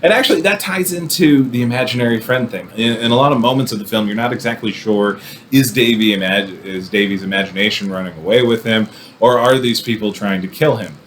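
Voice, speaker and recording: A man's voice: fairly low pitch, a little fast. Wry man rambling about films, noisy recording. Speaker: film commentator. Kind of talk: casual movie review talk.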